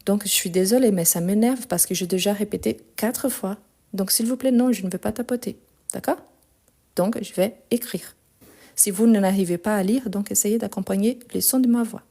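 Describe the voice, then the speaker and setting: Casting: female language teacher, soft mellow tone, medium pitch, explains insistently and repeats for clarity. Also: normal speed. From France and Brazil. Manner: didactic, repeats key points, insistent. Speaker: French teacher. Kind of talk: live online grammar lesson.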